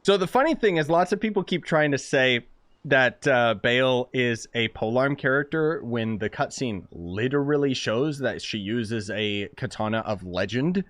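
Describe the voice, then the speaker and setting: A man's voice: medium pitch, expressive, normal speed, fairly bright tone, no room sound. A man giving casual, rambling gameplay commentary in an informal way. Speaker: gaming YouTuber. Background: game music.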